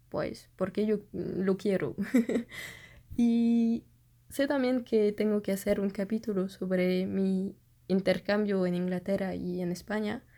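Young French-native woman vlogger; slow, mellow, hesitant delivery that gropes for words. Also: mid-range voice, creaky. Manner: hesitant, searches for words, many fillers. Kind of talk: casual storytelling to camera.

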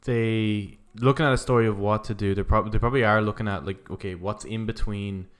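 A man co-hosting a podcast, chatting casually and haltingly as he thinks aloud. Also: on the low side, fairly even intonation. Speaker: podcast co-host. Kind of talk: casual conversational discussion.

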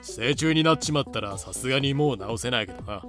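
Male actor as confident cockroach, educational voice drama, medium pitch, assured casual explainer, faint background music. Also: lively intonation, fast talker, a bit bright, natural voice. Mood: cool, matter-of-fact. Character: confident male cockroach, cool, matter-of-fact lecturer. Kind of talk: fan-made educational voice drama.

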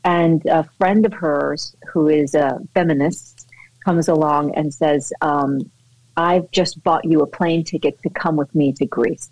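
A woman's voice: sits low, steady tone, a little slow, darkish tone, no echo, low-quality recording. A woman actress chatting in an interview, effusive and full of fillers and restarts. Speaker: actress guest. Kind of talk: casual interview chat.

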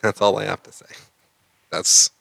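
A man's voice: on the low side, little pitch movement, moderate pace, gravelly, a bit bright, no room sound. A man co-hosting a casual anime podcast, talking in blunt, opinionated, sweary banter. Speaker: podcast co-host.

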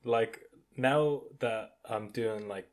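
A young man, an engineering student, chatting candidly and telling animated stories with casual swearing. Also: medium pitch, slow pace. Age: young adult. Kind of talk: casual podcast chat.

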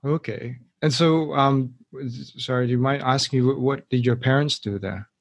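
A man's voice: medium pitch, moderate pace, gravelly, no echo, dull audio. A man hosting a podcast interview, friendly and often stopping and restarting with fillers. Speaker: podcast host.

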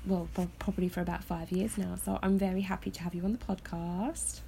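A woman hosting a podcast, chatting casually from her bed with a hoarse voice from illness. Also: fairly low pitch, steady tone, brisk, warm tone, slight background noise. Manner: chatty, laughs often. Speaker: podcast host. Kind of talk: casual chat. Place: in bed at home.

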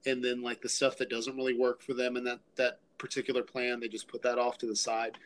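A man's voice: mid-range voice, completely flat delivery, fairly quick. A man with an American accent hosting a podcast, chatting in an easygoing way, laughing often and using many likes. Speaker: podcast host. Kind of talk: casual interview chat.

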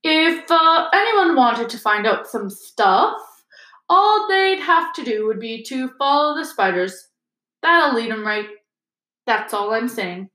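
A woman voicing a huge, shaggy, hot-tempered man in a storybook, gruff and blunt. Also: medium pitch, expressive, moderate pace, hardly any pauses, fairly bright tone, reverberant, natural voice, rough recording. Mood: stubborn, gruff. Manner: gruff, blunt, roaring and growling. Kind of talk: storybook character voice.

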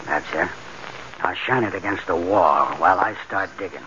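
A bossy tough crook in an old radio play, bright hard voice, hissy noisy recording. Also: male voice, sits low, normal speed, dull audio. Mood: urgent, determined. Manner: colloquial tough-guy talk, commanding. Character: tough, take-charge male bank robber, streetwise and eager. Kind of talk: 1940s radio drama acting.

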